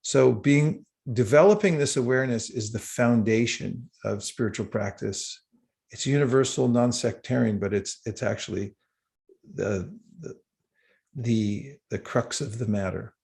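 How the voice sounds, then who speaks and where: A man giving a livestreamed spiritual talk with slides, unhurried and halting, with small chuckles. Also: mid-range voice, vocal fry, darkish tone. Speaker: spiritual teacher.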